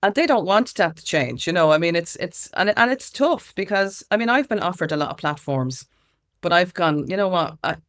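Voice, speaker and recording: A woman's voice: lowish voice, animated, normal speed, fairly bright tone. A woman with an Irish accent speaking informally and emphatically as an interview guest, telling anecdotes and acting out what others said. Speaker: interview guest, music consultant and campaigner. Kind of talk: conversational interview with animated anecdotes.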